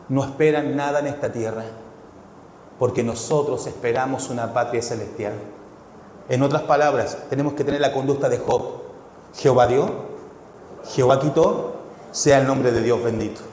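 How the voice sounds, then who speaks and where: A male pastor with a blend of Ecuadorian, Chilean and Mexican Spanish accents, preaching a sermon with rhetorical questions that builds to fervent shouts. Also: mid-range voice, slight background noise. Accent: mixed Ecuadorian, Chilean and Mexican Spanish. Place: church hall. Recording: echoey hall with long reverb.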